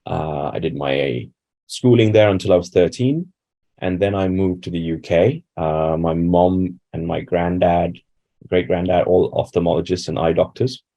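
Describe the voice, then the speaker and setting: A man's voice: sits low, moderate pace, mellow. A man from India giving reflective, conversational answers as a doctor being interviewed. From Bangalore, India.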